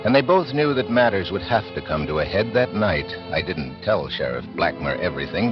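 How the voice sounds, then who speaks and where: An American actor playing a shrewd, calm blind detective captain in a 1940s radio drama, measured, dry and wry. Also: male voice, low voice. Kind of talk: 1940s radio drama acting and first-person narration. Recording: muffled vintage 1940s radio, music behind.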